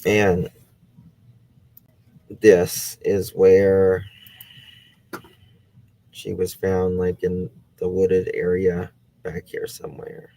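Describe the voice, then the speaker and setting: A man's voice: low voice, monotone, drawn-out words, long pauses, warm tone, low-quality recording. A man hosting a true crime channel, thinking aloud in casual talk as he looks things up during a case discussion. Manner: thinking aloud while searching online.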